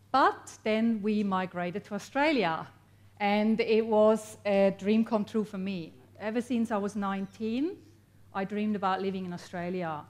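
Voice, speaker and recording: Chatty Swiss woman addressing a workshop. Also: medium pitch. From Switzerland. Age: 40s. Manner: chatty, laughs often, engages the audience. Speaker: workshop speaker. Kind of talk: motivational talk to a live audience. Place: workshop event room.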